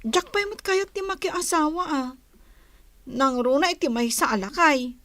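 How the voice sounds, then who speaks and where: An actress playing a young, reluctant grown daughter in a radio drama. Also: female voice, high voice, a little slow, a bit bright. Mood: defiant. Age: young adult.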